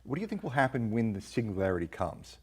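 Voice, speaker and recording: A man's voice: fairly low pitch, very animated, fast talker, slightly rough. A man, around forty, hosting a film review show and talking through ideas casually with a guest.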